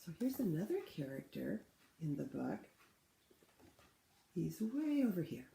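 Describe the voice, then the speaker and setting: A woman reading a picture book aloud to children in an expressive, teacherly way, pausing to ask them questions. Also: lowish voice, vocal fry. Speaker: read-aloud host for children. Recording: roomy, echoing room sound.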